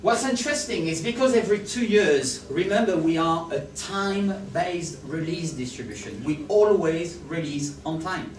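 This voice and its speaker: A man's voice: a little high, moderate pace, hardly any pauses, slight background noise. A man with a French accent giving a conference talk and often asking the audience if they follow. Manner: animated, asks the audience for agreement. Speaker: conference speaker. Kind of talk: presenting a talk. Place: large conference hall. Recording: roomy hall sound from a distance.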